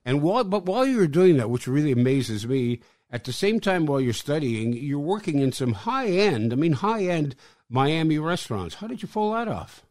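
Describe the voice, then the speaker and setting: A man hosting a podcast interview, asking questions with frequent halting restarts. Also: fairly high pitch, sing-song, a little mellow, dry recording, studio quality. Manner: halting, repeats words. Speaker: podcast host.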